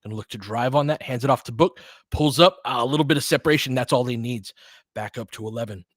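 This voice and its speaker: A man's voice: medium pitch, fast talker, dry recording. Casual partisan male basketball commentator, dry sound. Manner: partisan fan, informal, rooting for his team. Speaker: play-by-play commentator and host. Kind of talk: live basketball play-by-play with casual analysis.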